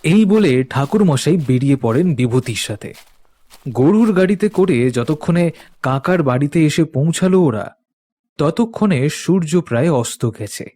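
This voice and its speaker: A man narrating an animated ghost story in a dramatic storytelling style. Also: on the high side, measured pace.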